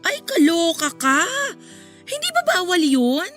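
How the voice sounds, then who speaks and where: A woman's voice: extremely high pitch, very animated, a little slow, a bit bright, exaggerated voice. A woman playing a nosy, playfully blunt young friend who teases and gossips in a radio drama. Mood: playfully scandalized. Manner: gossipy, exclamatory, teasing. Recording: music under the voice.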